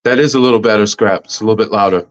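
A loud-voiced man hosting a casual panel discussion over a video call. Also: rapid-fire, muffled recording. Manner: loud, booming voice. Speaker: host. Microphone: computer mic on a video call.

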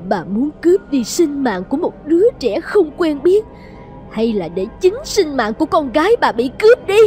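Lady doing a fretful pushy rich wife in a cartoon, highish voice, faint music under. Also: sing-song, normal speed, darkish tone. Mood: pressing, cold. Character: anxious, hard-pressing wealthy wife and mother. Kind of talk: cartoon fairy-tale dubbing.

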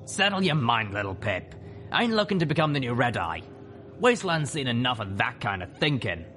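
A gruff, assured older griffin woman, acted by a male narrator, leading mercenaries in a storybook tale. The delivery is folksy and animated, pitched somewhat above a man's usual talk. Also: brisk, slight background noise. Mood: reassuring.

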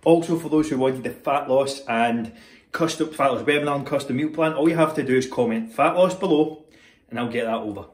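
A man's voice: medium pitch, fairly quick. A young man talking casually as he walks through a meal-prep recipe in his home kitchen, upbeat and loose, filming on a phone at arm's length. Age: young adult. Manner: chatty, upbeat, loose with swearing. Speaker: fitness vlogger. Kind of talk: casual cooking walkthrough. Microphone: phone held at arm's length. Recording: echoey kitchen, faint clicks.

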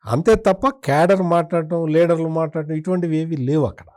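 A man's voice: fairly high pitch, brisk, darkish tone. A man speaking as a political commentator in an interview, in a critical, opinionated way with emphatic repetition.

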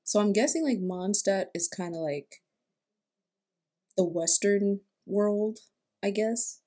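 A woman's voice: mid-range voice, takes it slowly, mellow. A young woman giving casual reaction commentary as a video host in a room at home. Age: young adult. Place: small room indoors at home.

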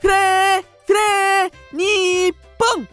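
An actor playing an excitable, mischievous ten-year-old schoolboy, a bossy big brother, in a children's radio drama. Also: male voice, very high-pitched, very slow, faint music behind, full character voice. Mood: excited cheering.

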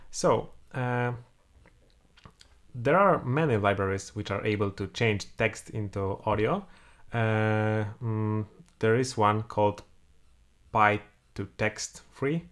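Tutor: man, 30s, Polish accent, low gravelly voice, walks through code with frequent "uh"s. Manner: thinks aloud while typing, many "uh" fillers. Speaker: programming tutor. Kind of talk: screen-recorded coding tutorial. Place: home room, indoors.

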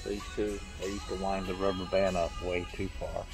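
A man's voice: low voice, level tone, moderate pace, vocal fry. A man chatting and joking in a souvenir shop, caught on a handheld camera. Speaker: vlogger's co-host. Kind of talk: casual chat. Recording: music playing over the voice.

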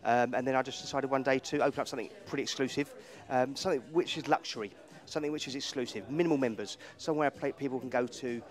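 Fast-talking man with a handheld mic. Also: medium pitch. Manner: fast, hesitant with many ums and repeated words. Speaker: gym owner. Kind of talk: casual interview at a party. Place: indoor party venue.

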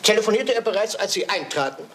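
An actor playing a shrewd, self-assured detective in a German radio crime drama, with a sly, theatrical manner. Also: male voice, high voice, animated, fast talker, pushed and bright, slight background noise. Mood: sly, probing. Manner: theatrical, sly, teasing, with occasional French phrases.

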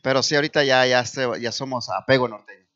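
A man guesting on a music show as a band member, chatting casually and joking in a relaxed way. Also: medium pitch, quick pace, dull audio. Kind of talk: casual chat. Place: TV studio set, indoors.

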